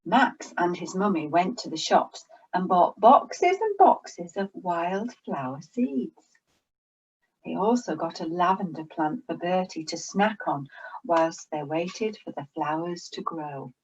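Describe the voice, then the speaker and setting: A woman reading a children's story aloud in a slow, expressive storytelling manner. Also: lowish voice, slightly rough, highs cut off.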